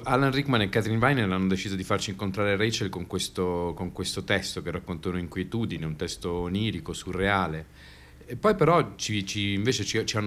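A man introducing radio theatre excerpts as a director, speaking haltingly, searching for words and repeating himself. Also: deep voice, a bit bright. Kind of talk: spoken introduction to a radio play.

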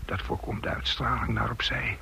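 Male scout, watchful, terse, hushed, creaky, bright edge, radio play. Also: mid-range voice, steady tone, moderate pace, a little hiss or hum. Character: male scout soldier, watchful, quietly reporting. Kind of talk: Dutch radio play acting.

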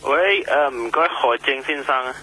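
An actor playing a chatty, helpful church pastor in a radio drama, speaking haltingly with a Hong Kong Cantonese accent. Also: male voice, mid-range voice, animated, sharp tone, breathy edge, low-quality recording. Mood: chatty, explaining. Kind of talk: radio drama acting, conversational.

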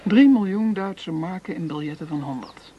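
A woman's voice: fairly low pitch, lively intonation, normal speed, highs cut off, natural voice. An actress playing a cool, domineering woman who runs a counterfeiting gang in a radio play, with a condescending, commanding manner. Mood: businesslike. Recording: noisy radio play recording.

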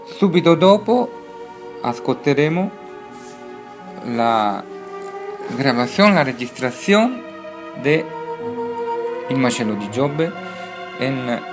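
A male radio presenter with a Spanish accent speaks at a slow pace. He hesitates and searches for words, slipping between languages. Music plays clearly behind him. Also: mid-range voice, very animated. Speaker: radio host. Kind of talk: live radio presenting in halting Italian mixed with Spanish.

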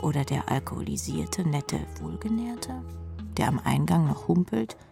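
A woman's voice: sits low, lively intonation, normal speed, creaky, music in the background, heavily acted. A woman playing a jaded, sardonic rock drummer who narrates her own life drily in a radio play. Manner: dry, sardonic. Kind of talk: scripted first-person radio drama narration.

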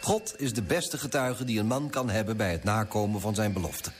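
A man playing a pompous, pious, impatient older gentleman in a radio play. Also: fairly low pitch, fairly quick, over music, close to an everyday voice. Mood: indignant, bossy.

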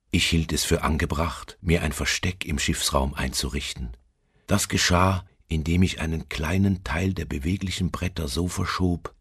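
An actor playing a haunted, doomed seafarer in a literary radio drama, speaking in a slow, brooding, solemn manner with a husky, gravelly voice. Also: male voice, no room sound, clean studio sound, full character voice. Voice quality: very deep, gravelly, husky, at times near whisper. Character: haunted male seafarer recounting his doom aboard a ghost ship.

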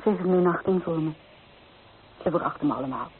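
A woman's voice: lowish voice, fast, a little hiss or hum, natural voice. An actress playing a homesick, bitter young provincial woman in a radio play, speaking earnestly and wistfully. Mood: hurt, indignant. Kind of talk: Dutch radio play acting. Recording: thin, phone-like old radio recording.

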